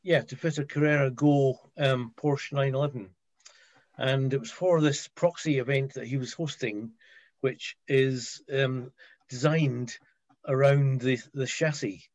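A man giving a halting, casual technical explanation on a group video call, repeating words as he goes. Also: medium pitch, fairly even intonation, a little mellow, dry recording. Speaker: participant in a hobby group chat. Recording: dull, muffled video call audio.

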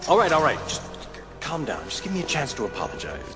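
A man's voice: mid-range voice, huge pitch swings, fast, big-room sound. A man voicing a cocky, charming soldier captain in an amateur cartoon fandub, flirtatious, wry and teasing. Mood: placating. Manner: teasing, smooth-talking. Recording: music behind the voice.

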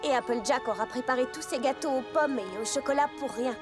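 A woman voicing an earnest, sensible young female pony who gently chides, in a French cartoon dub. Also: high voice, a little fast, lightly acted. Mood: chiding. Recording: music bed under the dialogue.

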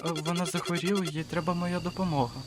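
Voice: mid-range voice, fairly even intonation, fast talker, loud music behind the voice, close to an everyday voice. A performer voicing an obedient, anxious little girl, hesitant and dutiful, in an amateur horror cartoon. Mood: frightened, hesitant. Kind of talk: amateur analog horror voice acting.

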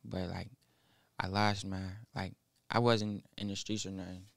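A nineteen-year-old man, a rapper guest in a small studio, speaking haltingly into a boom mic with many fillers. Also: little pitch movement, vocal fry, fairly bright tone, no room sound. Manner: halting, mumbled, full of fillers. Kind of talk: casual interview. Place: small studio with foam wall. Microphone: studio mic on boom arm, headphones.

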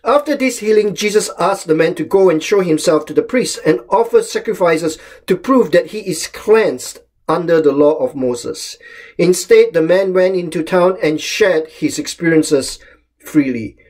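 A middle-aged man from Malaysia gives a short home sermon in a calm, measured voice that sits a little high for a man. He lifts the openings of his phrases for emphasis. He is recorded close up on a webcam in a small room. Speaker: pastor. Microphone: webcam or laptop camera close up.

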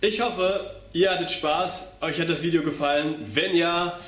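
A man's voice: on the high side, steady tone, a little slow, fairly bright tone. A young man giving a step-by-step demonstration as an instructor, physically active as he talks, recorded by a camera mic across a bare room. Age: young adult. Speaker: circus pantomime instructor. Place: bare indoor room with hard walls. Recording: very echoey room, far from mic.

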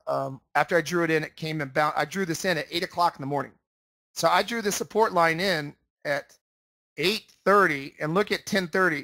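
A man's voice: a little high, pushed and bright, dry recording. A man instructing in an informal screen-share lesson, explaining emphatically and repeating himself for stress. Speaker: trading room instructor. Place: indoors, voice-over for screen share.

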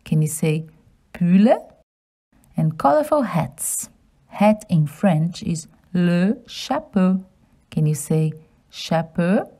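A woman reads a picture book for small children at a very unhurried pace, with a strongly varied, swooping melody. Her voice is soft-edged and mellow. She phrases things simply and encouragingly, like a lesson for beginners. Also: lowish voice, animated. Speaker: host reading children's books aloud. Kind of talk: children's read-aloud with simple French vocabulary teaching.

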